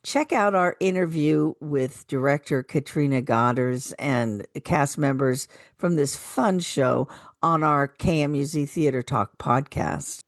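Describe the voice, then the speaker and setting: A woman with a fairly low voice reads scripted calendar listings as co-host of a radio show. The sound is very dry, with no room echo. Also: unhurried. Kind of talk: scripted community calendar announcements.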